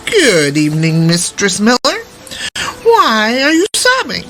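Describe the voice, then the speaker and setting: A woman's voice: a little high, huge pitch swings, slow, fairly bright tone, slight background noise, full character voice. A woman voicing a tiny magical little man from a fairy tale, bargaining and insistent. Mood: greeting, curious.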